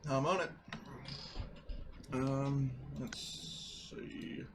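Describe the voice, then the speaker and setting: A man's voice: medium pitch, steady tone, very slow. A man giving casual, brief replies as a player in a tabletop game. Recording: clear background noise, keyboard clicks.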